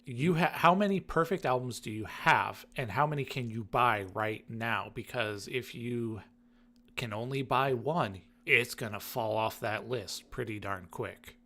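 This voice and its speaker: A man speaks casually as a podcast regular. His voice has a medium pitch, and his talk is conversational and informal. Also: unhurried, bright, forceful tone. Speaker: podcast third chair and producer. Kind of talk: casual chat.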